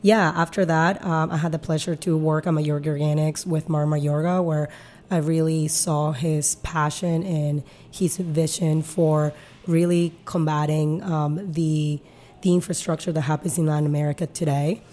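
Low-voiced woman, earnest explainer. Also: steady tone, moderate pace, warm tone. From Peru. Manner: earnest, explains at length with many fillers. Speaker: guest, company founder. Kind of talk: podcast interview.

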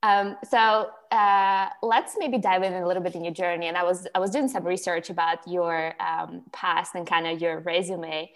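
Low-voiced woman podcast host. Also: little pitch movement, moderate pace, hardly any pauses. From Ukraine. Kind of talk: conversational interview.

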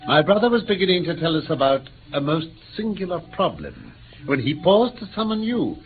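A man with an English accent playing a precise, self-assured gentleman detective in a radio play, dry and immodest in manner. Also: fairly high pitch, sing-song, normal speed. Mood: courteous, keen. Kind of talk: mid-century British radio play acting. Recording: narrow-band old radio recording, faint hiss.

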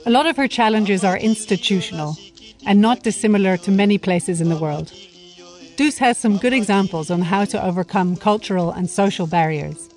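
A woman hosting and narrating a documentary feature in a measured, explanatory scripted read. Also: medium pitch, a little mellow, soft background music. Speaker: host and narrator.

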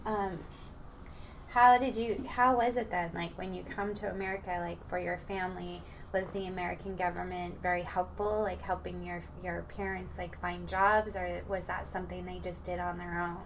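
A woman's voice: on the low side, little pitch movement, normal speed, a little hiss or hum, poor audio. A woman interviewing someone, asking questions and encouraging her with frequent affirmations. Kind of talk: oral history interview.

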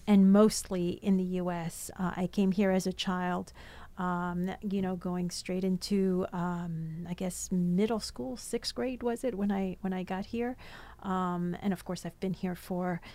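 A woman's voice: lowish voice, steady tone, measured pace, dark tone. A woman born in France, a cookbook author, chatting as an interview guest, talking with many fillers and restarts. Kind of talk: casual interview chat.

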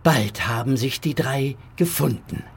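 A man's voice: medium pitch, measured pace, vocal fry, slightly rough. A man narrating a children's audio drama in a scripted storytelling style. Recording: music bed behind narration.

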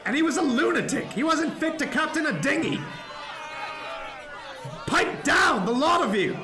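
A man's voice: very high-pitched, expressive, normal speed. An actor playing a defiant, commanding first mate in a radio drama, delivering earnest oratory to his crew. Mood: commanding, angry. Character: defiant mutinous first mate, a commanding male ship's officer. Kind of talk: serial radio drama acting. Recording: noisy scene with loud crowd behind.

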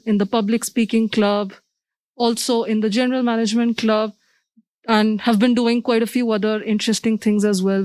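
A woman's voice: mid-range voice, a little mellow, no room sound, rough recording. A woman from India, speaking in a composed, articulate way as a panelist. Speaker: MBA student panelist. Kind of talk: panel discussion.

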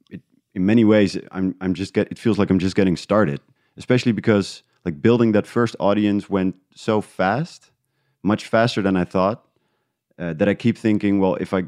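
A man from the Netherlands, talking casually in an interview, halting as he thinks aloud. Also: sits low, a little mellow, dry recording, studio quality. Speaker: guest, independent podcast creator.

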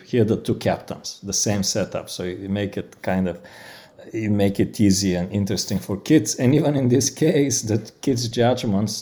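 A man's voice: fairly low pitch, dark tone. A man with a Bulgarian accent, a researcher explaining studies in an interview, academic in manner with frequent fillers. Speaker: guest researcher. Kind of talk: interview answers.

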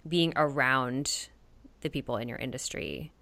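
A woman's voice: a bass voice, expressive, measured pace, vocal fry, pushed and bright, no room sound. A woman hosting a podcast, chatty and enthusiastic, interviewing a guest and closing the show. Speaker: podcast host. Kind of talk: casual interview and show outro.

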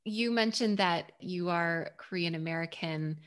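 Low-voiced woman hosting a podcast. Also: a little slow. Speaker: podcast host. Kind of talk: conversational interview.

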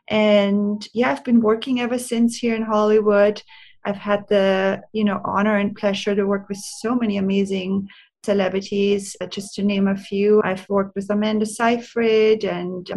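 Middle-aged woman, gravelly edge to the voice, chatty guest who drifts off track. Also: mid-range voice, a little mellow. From Austria. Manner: rambling, loses her train of thought. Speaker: guest, makeup artist and brand co-founder. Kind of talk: casual interview talk.

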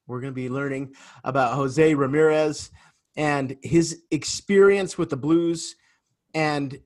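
A male podcast host with a voice a little higher than average. He talks informally and enthusiastically, in the loose conversational style of a music interview show.

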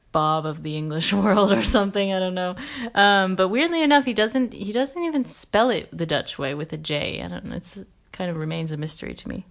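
A woman co-hosts a podcast in a thoughtful, measured way, pausing on fillers as she thinks. Her voice sits fairly low and carries a gravelly fry. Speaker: podcast co-host. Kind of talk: podcast hosting.

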